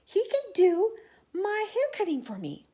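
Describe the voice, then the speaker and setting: A woman's voice: very high-pitched, wildly swooping pitch, a little slow, mellow, pitched above the performer's own voice, heavily acted. A woman voicing a hesitant, obliging male animal hairdresser in a children's storybook. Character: kindly lion's friend, a male hairdresser animal, hesitant helper.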